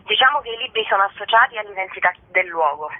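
A woman's voice: mid-range voice, lively intonation, fast. A woman hiking guide explaining her work as a guest in a radio interview. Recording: dull, narrow phone-like radio sound.

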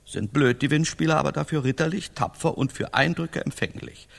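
An actor playing a fussy, scolding attendant to an old knight, pedantic and exasperated, in a satirical radio play. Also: male voice, on the high side, fairly quick, creaky. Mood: pedantic, dry. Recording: long hall-like reverb.

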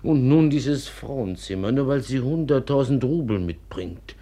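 A man's voice: mid-range voice, lively intonation, measured pace, warm tone. An actor playing an aggrieved old father, declaiming pompously and theatrically in a 1950s German radio drama. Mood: indignant. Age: older.

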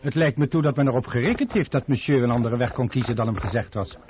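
A man's voice: medium pitch, fast talker, natural voice. An actor playing an anxious, suspicious manservant in a radio play who keeps warning his master. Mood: wary. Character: fretful, suspicious manservant Cloche. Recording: old phone-like noisy radio recording.

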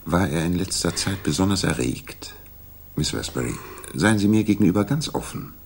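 An actor playing a calm, probing gentleman detective with measured, formal courtesy and a husky voice in a 1960s German radio crime drama. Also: sits low, slight background noise. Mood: probing, earnest.

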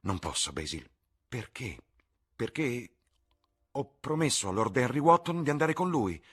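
A man voicing an eager, naive young man in an audiobook, with a husky voice. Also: medium pitch, very animated, measured pace, a bit bright, pitched above the performer's own voice, full character voice. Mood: hesitant. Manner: eager, hesitant. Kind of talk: audiobook character voice.

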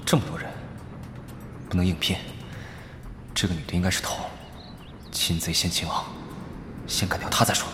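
A man's voice: deep voice, flat delivery, normal speed, long pauses, noisy background, natural voice. A man voice actor playing a wary, grumbling young lone gamer in an audio drama, with muttered asides and a Mainland Mandarin accent. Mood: wary, annoyed. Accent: Mainland Chinese Mandarin. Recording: music behind the voice.

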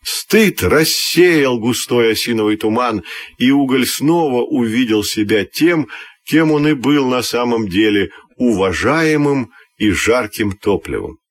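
Husky guy reading a kids radio fairy tale, really expressive, dry close sound. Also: medium pitch, measured pace, dry recording. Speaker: storyteller. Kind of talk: scripted fairy-tale narration for children's radio.

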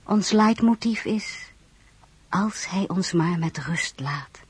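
An actress playing a reflective young wife and mother, narrating her life in a measured, intimate way in a radio drama. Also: on the low side, lively intonation, slightly rough, full character voice.

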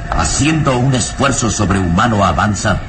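A man narrating a radio melodrama in suspenseful, measured phrases with dramatic emphasis. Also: lowish voice, lively intonation, noisy background. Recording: radio drama with music underneath.

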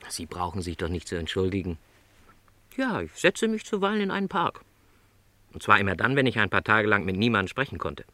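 Gentle middle-aged salesman, widely swooping intonation. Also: lowish voice, big pitch swings, brisk, no echo. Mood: wistful, reflective. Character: no longer young, lonely travelling salesman, gentle, resigned. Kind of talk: German radio play dialogue.

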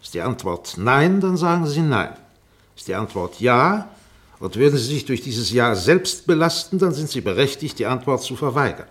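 An actor playing a shrewd, relentless defence barrister in a German radio play, formal and insistent. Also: male voice, mid-range voice, sing-song, normal speed, lightly acted. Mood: quiet, deliberate. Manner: formal, insistent, rhetorical repetition.

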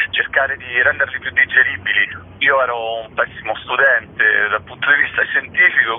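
A man with an average-pitched voice answers as an interviewed festival curator. He explains things somewhat haltingly, repeating the odd word. The sound is thin and band-limited, like a telephone call.

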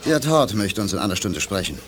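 A man's voice: fairly low pitch, sing-song, a little fast, noisy background. An actor playing a loyal male second-in-command spaceman in an audio drama, reporting in a matter-of-fact way. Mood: keen, businesslike. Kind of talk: 1980s German audio drama acting.

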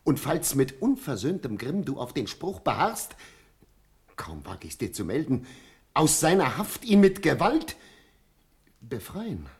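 A solemn, urgent courtier with a husky, veiled voice. He speaks classical verse deliberately, his pitch moving dramatically as he addresses his lord with deference and pleading intensity. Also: huge pitch swings, a little mellow, full character voice. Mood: urgent, hesitant. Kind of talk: classical German verse radio drama acting.

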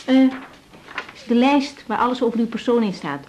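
Actress as soft-toned, high-voiced young doctor. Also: female voice, animated, moderate pace, a little hiss or hum, natural voice. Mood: kind, reassuring. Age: young adult. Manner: polite, gently reassuring, matter-of-fact. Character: young female doctor, patient, polite, gently firm. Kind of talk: radio drama acting. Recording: dull, band-limited radio recording.

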